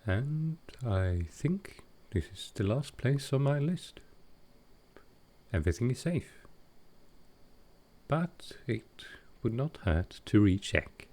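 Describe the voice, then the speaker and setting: A man playing a gentle, awkward male vampire in an intimate comfort roleplay, speaking tenderly and haltingly. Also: medium pitch. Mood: quietly focused. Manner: halting, hesitant, tender, soft-spoken.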